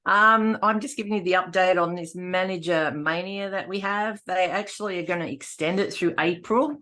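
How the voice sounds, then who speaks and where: A middle-aged Australian woman speaks in a slightly low voice. She gives an informal update as a team leader. Her delivery is loose and rambling, with sentences often restarted. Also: moderate pace, sharp tone.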